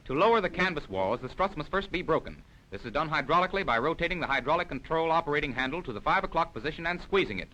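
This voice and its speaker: A man's voice: on the high side, sharp tone, a little hiss or hum, poor audio. A man reading scripted narration for a 1940s military instructional film in a formal, precise, explanatory manner.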